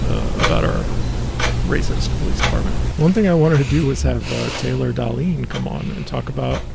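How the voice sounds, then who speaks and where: A man's voice: on the high side, creaky, darkish tone. An American man talking casually as a talk show host about local politics, with a wry, sardonic manner.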